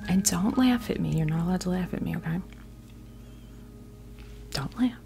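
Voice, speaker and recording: A woman hosting an ASMR doll unboxing, chatting casually and softly to camera, gushing over small details in an unhurried way. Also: on the low side, lively intonation, creaky, faint music behind.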